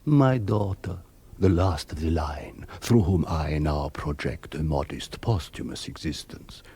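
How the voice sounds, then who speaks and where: An ancient vampire count for a radio drama, low-pitched and gravelly. He narrates with sinister, melancholy grandeur, drawing out every macabre phrase at a very slow pace. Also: mellow, exaggerated voice. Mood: brooding, mournful. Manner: slow, macabre, poetic, savouring each word. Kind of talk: 1970s radio play narration.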